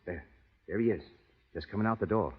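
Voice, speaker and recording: An actor playing a loyal, fretful cowhand sidekick with folksy rustic talk and a husky voice in a 1930s radio western. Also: male voice, fairly low pitch, brisk. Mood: anxious, loyal. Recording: dull 1930s radio stage recording, faint audience.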